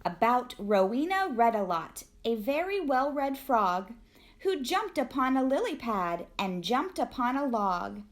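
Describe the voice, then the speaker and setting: A woman reading a rhyming children's storybook aloud in an expressive, deliberate storytelling manner. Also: fairly high pitch. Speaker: teacher reading a picture book aloud.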